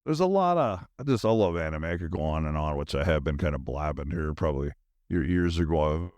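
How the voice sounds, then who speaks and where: A man's voice: rumbling low, animated, fairly quick, a little mellow, no echo. A middle-aged man hosting a podcast, chatting casually and enthusiastically in a scattered, slangy way as he thinks aloud. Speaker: podcast host. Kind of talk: casual rambling solo chat.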